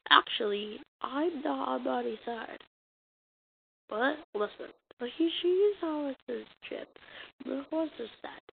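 A woman reading a comic dub as an amateur voice actor, acting out the dialogue in a halting, stumbling way on a muffled, noisy recording. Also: high voice, expressive, vocal fry. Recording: muffled lo-fi recording with noise and clicks.

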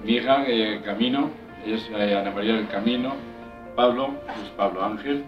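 An older man from León, Spain, speaks in a low voice, pausing and repeating words as he reaches for memories. He sits back from the microphone in a living room, so the sound is roomy and dull. Soft music plays under him. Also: far from the mic. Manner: hesitant, repeats words while recalling. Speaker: interviewee, emigrant. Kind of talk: documentary interview.

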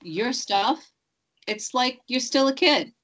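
A woman's voice: high-pitched, expressive, takes it slowly, a bit bright, heavily acted. A woman playing a blunt, dismissive young girlfriend in a drama script read. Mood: curious, teasing. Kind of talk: acted dialogue in a cold script read. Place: indoors on a group video call.

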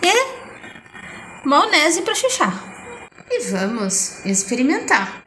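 A woman's voice: high-pitched, huge pitch swings, slow pace, pushed and bright, slight background noise, rough recording. A middle-aged woman from Brazil chatting playfully to her phone camera in a home kitchen, narrating her food and coining cute diminutive words. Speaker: vlogger. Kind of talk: casual food vlog narration.

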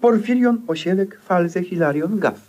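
An actor playing an excitable, self-satisfied eccentric gentleman in a theatrical, flowery 1950s radio play style. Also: high voice, measured pace, dull audio. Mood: pleading. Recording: vintage 1950s radio recording, music behind.

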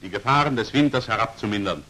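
A man's voice: on the low side, animated, fast talker, sharp tone, low-quality recording. Formal 1940s training film narrator, man. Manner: formal, precisely articulated, instructional.

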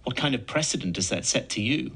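A man presenting broadcast news, introducing the story and asking the guest pointed questions in a measured way. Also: medium pitch, animated, vocal fry, fairly bright tone, breathy edge.

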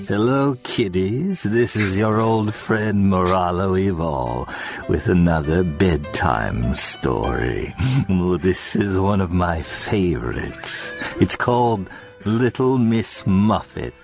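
A man's voice: low-pitched, lively intonation, slow pace, vocal fry, soft background music, exaggerated voice. A man voicing a sinister elderly alien villain who tells macabre bedtime stories in a theatrical, mock-sweet manner, savoring each word. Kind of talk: theatrical storytelling, audio comedy sketch.

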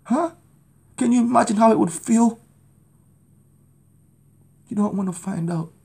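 A man's voice: sits high, unhurried, vocal fry, a little mellow, breathy edge. A man in his thirties from Jamaica, preaching his testimony to a phone camera in a small room at home, urgent and pleading. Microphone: phone camera at arm's length.

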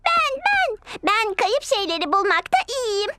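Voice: very high voice, very animated, measured pace, very noisy, full character voice. An actor voicing a cheerful, bossy little girl pig in a children's cartoon dub, childlike and eager. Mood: self-important.

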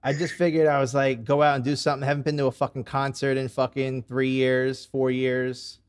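A man's voice: medium pitch, little pitch movement, brisk, smooth. Rambling young man on a headset. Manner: rambling, many restarts and curses. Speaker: podcast host. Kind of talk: casual chat. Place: home room with red lights on video call.

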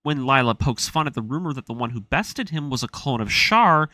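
Bright-voiced man narrating with lively, swinging pitch. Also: a little fast, no room sound. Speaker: narrator of a series breaking down an anime story. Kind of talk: scripted story-recap narration. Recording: voice-over with music underneath. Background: music.

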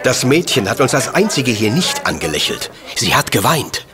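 An actor playing a professorial detective in a radio play, formal, precise and condescending but courteous. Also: male voice, mid-range voice, very animated, moderate pace, over music, natural voice. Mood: lightly amused, brisk. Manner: pedantic, formal old-fashioned phrasing. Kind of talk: German radio play acting.

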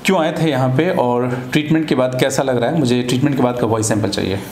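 A middle-aged man with an Indian accent speaks as a therapist conducting a formal interview. His voice sits at an average pitch and his delivery is deliberate. The room is echoey, with a faint layer of noise. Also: a little mellow. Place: small clinic office, indoors.

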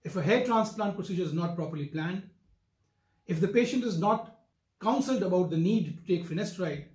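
An older man with an Indian accent, giving a formal, emphatic explanatory talk as a doctor in a measured manner. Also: high-pitched, lively intonation, darkish tone. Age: older, around 60s. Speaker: doctor, hair transplant surgeon. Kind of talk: explanatory medical talk to camera. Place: wood-furnished office, indoors. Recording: roomy, echoing indoor sound.

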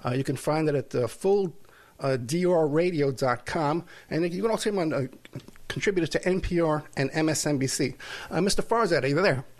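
A man hosting a talk podcast, relaxed and a little halting, often pausing on fillers as he frames his questions. His voice sits somewhat high for a man. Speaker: podcast host, private investigator. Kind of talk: casual interview.

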